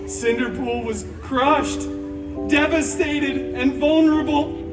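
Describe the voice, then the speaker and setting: A man plays a wisecracking antihero telling a mock fairy tale with theatrical flourish and sarcastic asides. His voice sits extremely high for a man, recorded from a distance at a live show over clear music. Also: steady tone, takes it slowly. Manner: flamboyant, exaggerated, sarcastic asides. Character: cheeky, theatrical, wisecracking male antihero storyteller. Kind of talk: comic children's story-time parody, stage narration. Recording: distant theme park show, music behind.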